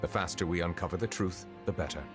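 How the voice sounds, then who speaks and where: A man playing a deep stately judge. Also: close to an everyday voice. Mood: composed. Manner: calm, formal, measured. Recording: game audio with faint music.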